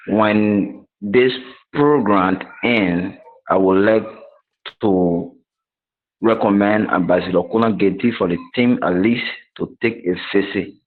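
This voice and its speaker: A man from northern Liberia with a Liberian accent, making formal remarks deliberately in short phrases over a muffled call. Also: low-pitched. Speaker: training participant. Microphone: video call microphone. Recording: muffled, dull video call audio.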